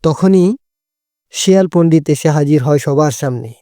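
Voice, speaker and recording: A man tells an animated storybook fable as narrator. His voice is fairly high for a man yet soft and mellow, in a very dry recording. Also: unhurried, no echo. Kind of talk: scripted storybook narration for a cartoon.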